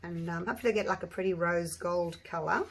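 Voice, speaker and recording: Slightly hoarse woman chatting while crafting. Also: fairly low pitch, quick pace. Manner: chatty, thinking aloud with frequent ums and restarts. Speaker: resin artist and channel host. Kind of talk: casual crafting tutorial.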